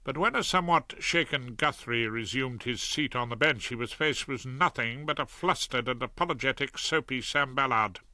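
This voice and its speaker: Older male audiobook narrator, wry and ironic, bright, dry studio sound. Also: medium pitch, moderate pace, few pauses, no room sound.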